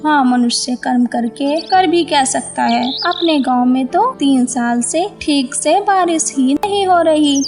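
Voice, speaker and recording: A woman voicing a poor farmer's discontented, scolding wife in a moral story cartoon. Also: high voice. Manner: complaining, scolding.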